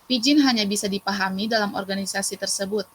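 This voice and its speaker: A young woman reads her part of a class presentation at a steady, even pace in a mid-range voice. A faint hum of room ambience sits behind her. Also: medium pitch, quick pace. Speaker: student presenter and moderator.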